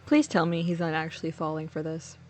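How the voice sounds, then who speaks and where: A woman's voice: sits low, fairly even intonation, a little fast, darkish tone, soft background music. A woman giving casual, sweary let's play commentary with snarky reactions. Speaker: streamer.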